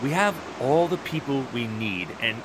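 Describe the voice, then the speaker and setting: A man's voice: medium pitch, very animated, moderate pace, background noise, lightly acted. A man playing a proud, defensive border wall guard in a one-act audio play, arguing emphatically. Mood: smug, defiant. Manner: emphatic, argumentative.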